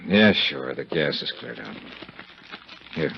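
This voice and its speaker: An actor playing a tough, wry investigator hero with a clipped, hard-boiled manner in a 1950s radio drama. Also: male voice, rumbling low, animated, slow, gravelly, heavily acted. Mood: wry, low-key. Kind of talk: 1950s radio drama acting and first-person narration. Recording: muffled, noisy 1950s radio recording.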